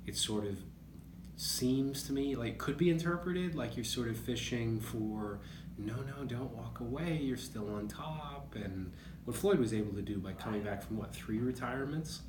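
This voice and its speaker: A man's voice: medium pitch, moderate pace. A man speaking as a boxing journalist guest, musing casually through a sports discussion. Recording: roomy echo, clear background noise.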